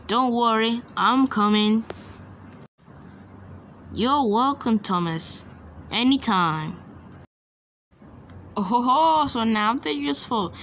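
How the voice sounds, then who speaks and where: A woman voicing a cheerful, friendly male tractor in a sing-song, gently teasing way, as in a fan-made cartoon voice reel. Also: fairly high pitch, a little hiss or hum.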